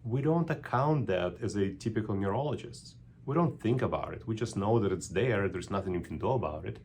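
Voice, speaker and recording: A man's voice: on the low side, animated, fairly quick, darkish tone, a little hiss or hum. A middle-aged man with a Russian accent, a neurologist talking to camera in a thoughtful, unscripted way with frequent ums and restarts.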